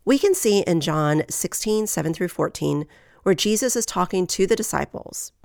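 A woman hosts a faith podcast in an earnest, encouraging way, her voice nasal from a lingering head cold. There is a gravelly rasp under her somewhat low voice. The recording is close and dry, with no room sound. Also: lively intonation, normal speed, darkish tone, no echo. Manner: earnest, encouraging, reads scripture aloud. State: getting over a head cold. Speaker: podcast host.

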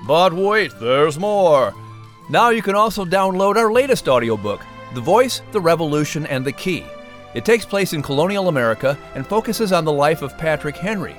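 A man hosting a playful scripted podcast in a theatrical, animated manner. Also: a little high. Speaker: podcast announcer and host.

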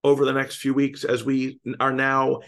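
Slightly hoarse, gravelly man in his fifties. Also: mid-range voice, monotone, normal speed, a bit bright. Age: 50s. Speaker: podcast co-host. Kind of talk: casual chat.

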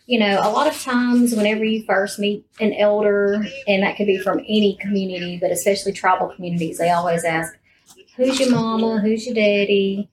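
A woman's voice: medium pitch, a little hiss or hum, low-quality recording. A woman speaking as a podcast host, asking questions in a conversational interview style.

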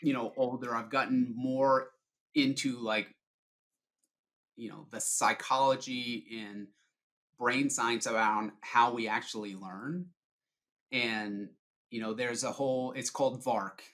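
A man's voice: mid-range voice, fairly even intonation, slow pace, fairly bright tone. A middle-aged man giving informal, explanatory answers as a sales leader being interviewed on a podcast.

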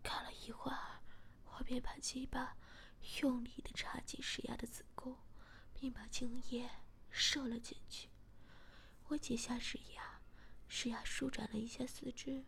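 A woman narrating a first-person story aloud in a steady, soft whisper. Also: fairly high pitch.

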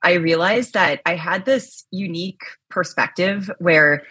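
Woman podcast guest, low husky voice, loud and chatty, lots of likes and rights. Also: measured pace, no echo. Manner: energetic, chatty, loud with many fillers. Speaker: podcast guest, chief risk officer. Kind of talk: casual interview chat.

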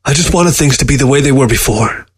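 A man's voice: mid-range voice, fast, slightly rough, dry recording, pitched above the performer's own voice, close to an everyday voice. A man voicing a weary, grieving old man in a story, defensive and pleading. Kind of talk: storybook character dialogue.

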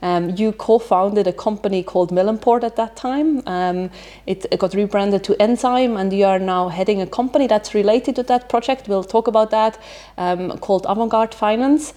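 Lady host w a warm mellow voice asking questions, lots of mm-hmms. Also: mid-range voice, moderate pace, few pauses. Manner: friendly, frequent affirming interjections. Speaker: interview host. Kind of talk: casual interview questions.

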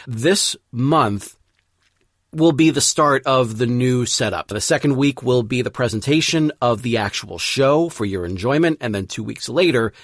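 A man's voice: medium pitch, expressive, unhurried, dry recording, studio quality. A man hosting a podcast, introducing the show in an enthusiastic, emphatic way. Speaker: podcast host and presenter. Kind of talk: semi-scripted show introduction.

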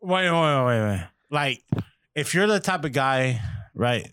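A man's voice: medium pitch, lively intonation, a little slow, fairly bright tone, clean tone. A married man in casual chat, ranting profanely and stammering when worked up. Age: adult, married.

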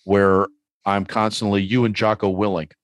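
A man's voice: fairly low pitch, level tone, moderate pace, no echo, clean studio sound. A middle-aged man hosting a podcast, talking casually in long, rambling, philosophical asides. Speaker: podcast host.

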